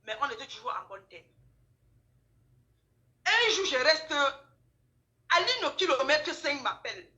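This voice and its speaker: A woman with a high-pitched, bright voice and a Cameroonian French accent tells a story in casual gossip style. She is emphatic, often repeating her phrases and voicing bits of dialogue. The sound is roomy and echoing. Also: big pitch swings, measured pace, long pauses, muffled recording. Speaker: guest telling her account.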